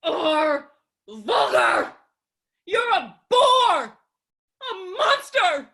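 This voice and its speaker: A woman's voice: very high voice, big pitch swings, drawn-out words, pushed and bright, full character voice. A woman playing a fiery, haughty widow in a theatrical staged reading of a farce, melodramatic and imperious. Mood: outraged. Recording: home recording over video call.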